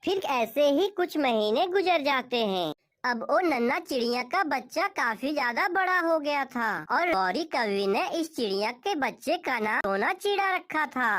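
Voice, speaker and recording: A female narrator tells a scripted children's story. Her voice is somewhat high, and she carries the tale in the third person as a storyteller. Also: animated, a little slow, a bit bright.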